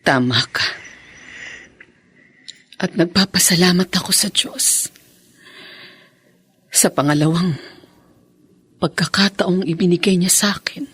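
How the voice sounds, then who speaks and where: A woman playing a gentle, repentant middle-aged mother with a weak, breathy voice in a Filipino radio drama. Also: fairly low pitch, takes it slowly, pauses often, no echo, full character voice. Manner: gentle, pleading. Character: repentant, grateful mother recovering from harm.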